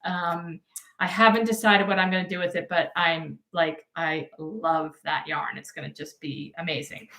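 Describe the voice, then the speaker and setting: A woman's voice: on the low side, fairly even intonation, normal speed, gravelly, sharp tone, roomy sound. A middle-aged woman co-hosting a knitting podcast, talking casually with lots of ums and restarts, her voice a bit worn. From United States. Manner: chatty, rambling with many ums and restarts. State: losing her voice. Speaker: podcast co-host. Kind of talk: casual chat.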